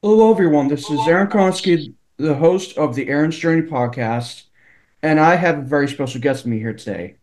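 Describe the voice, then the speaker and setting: A man hosting a casual interview podcast, speaking haltingly as he searches for his words. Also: on the high side, a little mellow, low-quality recording. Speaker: podcast host.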